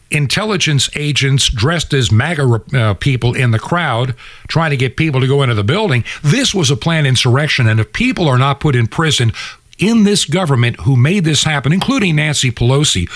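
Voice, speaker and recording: A man's voice: a little high, fairly bright tone, no room sound, clean studio sound. A man hosting a radio show, delivering an opinionated political monologue in an emphatic, conversational way with rhetorical asides. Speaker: radio and podcast host.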